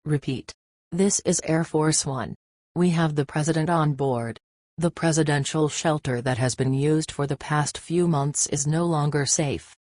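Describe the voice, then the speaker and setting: A man's voice: on the high side, unhurried, a little mellow. A man playing an urgent presidential pilot, making a formal, clipped radio call in a scripted drama.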